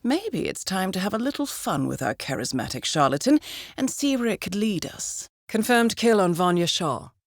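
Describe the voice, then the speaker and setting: An actress playing a cool, composed intelligence handler in a video game, briefing an assassin with articulate dry wit. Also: female voice, medium pitch, sing-song, normal speed, no echo. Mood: cool, dryly amused. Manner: composed, dryly witty, articulate. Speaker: mission handler.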